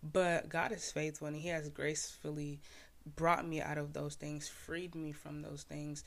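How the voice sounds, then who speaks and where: A man's voice: on the high side, little pitch movement, a little slow, gravelly, a bit bright. A young man from Haiti, a podcast host and poet, casually explaining his own poem in laid-back slang full of "like" fillers.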